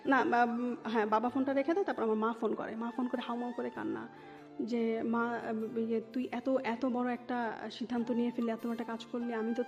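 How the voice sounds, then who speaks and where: A woman with a fairly high voice and a Bangladeshi accent recounts personal experiences in a conversational way. She drops English words into her sentences. The sound is a little dull, with long reverb and music behind her. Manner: mixes English words into Bengali. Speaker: interview guest telling her life story.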